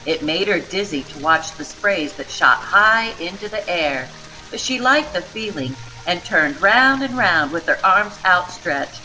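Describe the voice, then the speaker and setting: A woman reading a children's storybook aloud with expressive, dramatic narration. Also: fairly low pitch, unhurried, poor audio.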